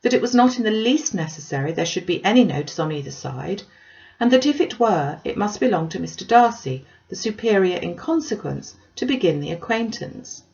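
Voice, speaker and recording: A woman's voice: medium pitch, expressive, fairly quick, gravelly, a little mellow, dull audio. A woman reading a classic novel aloud as scripted audiobook narration. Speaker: audiobook reader.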